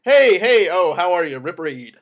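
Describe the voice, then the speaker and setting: A man's voice: high-pitched, sing-song, measured pace, exaggerated voice. A man playing a self-deprecating, jittery mob attorney in his forties, half drunk and rambling, at a script table read. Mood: jittery greeting. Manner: rambling, stammering. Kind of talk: amateur screenplay table read.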